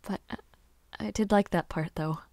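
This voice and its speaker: A young woman playing a gentle, shy noblewoman who aspires to knighthood, speaking softly and haltingly in an intimate audio roleplay. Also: medium pitch, lightly acted. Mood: shy, fond. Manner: soft, hesitant, halting speech.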